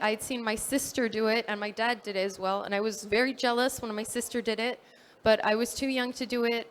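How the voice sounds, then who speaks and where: Earnest teenage girl giving a talk. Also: on the high side. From New Caledonia. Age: teenager, fifteen. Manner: earnest, with fillers and self-corrections. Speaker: youth speaker at a dive expo. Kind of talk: prepared conference talk.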